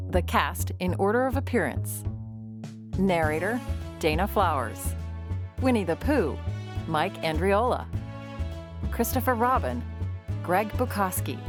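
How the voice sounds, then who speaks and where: A woman narrating a children's storybook audio drama in an expressive storytelling manner. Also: medium pitch. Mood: announcing.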